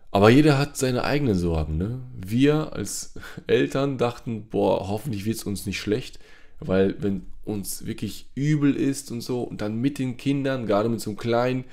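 A man's voice: on the low side, a little mellow, dry recording. A man in his thirties chatting casually through a family travel vlog, with frequent tag questions. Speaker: vlogger. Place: inside a camper van and outdoors by it. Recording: road and vehicle noise.

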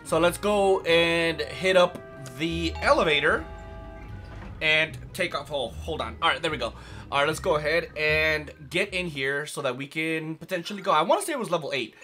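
Brief: young male let's-play host, hoarse and raspy, high and bright, chatty, faint game music behind. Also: normal speed. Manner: chatty, rambling, lots of fillers. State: hoarse from yelling. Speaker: gaming channel host. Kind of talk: casual let's play commentary.

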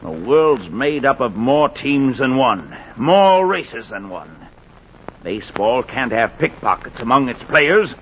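A man's voice: mid-range voice, big pitch swings, takes it slowly. A man playing a principled American baseball executive, lecturing emphatically in a 1940s radio drama. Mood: stern, impassioned. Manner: emphatic, oratorical. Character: principled, forceful male baseball executive lecturing his players. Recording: dull 1940s radio recording with hiss.

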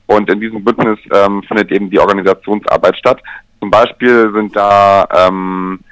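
A man explaining things in a measured, structured way as a guest in a radio telephone interview. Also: lowish voice, fairly even intonation, a bit bright, no room sound, poor audio. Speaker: interview guest, LGBT pride event organizer. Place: remote, by telephone.

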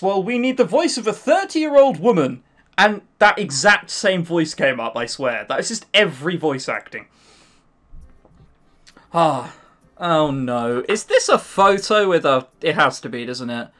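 A man's voice: on the high side, animated, unhurried, a bit bright. A man reading a visual novel aloud and mocking it with sarcastic comments, as a let's play host.

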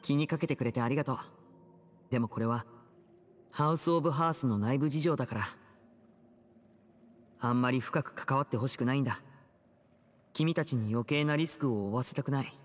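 A man playing a composed, kind young stage magician in an anime game, speaking in a measured, earnest and soft way. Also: mid-range voice, in a large hall, natural voice. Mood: earnest, careful. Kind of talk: Japanese anime game dialogue acting.

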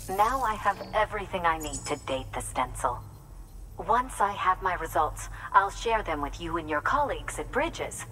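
An actress playing a composed, formal woman scientist in a video game cutscene, speaking in a measured, polite way. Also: on the low side, a bit bright.

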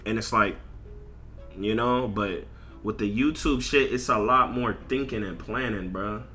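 American dude ranting on a game stream, sharp bright voice, takes his time, repeats himself, lots of swearing. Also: lowish voice, a little slow, soft background music. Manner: slangy, heavy swearing, emphatic and repetitive. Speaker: streamer and content creator. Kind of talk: casual livestream rant over gameplay.

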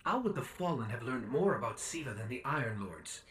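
Small alert robot companion, video game, inquisitive, mid-pitched, noisy echoey recording. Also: male voice, very animated, a little fast, fairly bright tone. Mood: questioning. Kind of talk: video game cutscene acting.

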